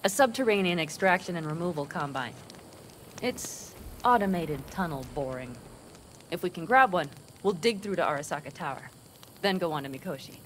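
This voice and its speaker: Headstrong young nomad gal in a game, low voice w lots of swing, noisy background. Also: female voice, animated, moderate pace, fairly bright tone. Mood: determined. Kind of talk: video game cutscene dialogue.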